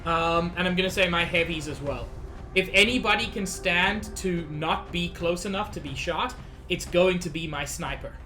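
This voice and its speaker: Bright-voiced anxious streamer. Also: female voice, on the low side, normal speed. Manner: chatty, anxious, talks to chat. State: slightly sick. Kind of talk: casual gameplay commentary. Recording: faint game music behind.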